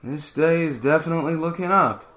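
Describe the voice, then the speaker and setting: A man's voice: fairly high pitch, brisk, a bit bright, pitched above the performer's own voice, lightly acted. Man acting an exasperated, sardonic starship crewman. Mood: wry. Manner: stammering, sardonic. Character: exasperated adult male starship crewman, sarcastic, flustered. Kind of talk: dramatic reading of fan fiction.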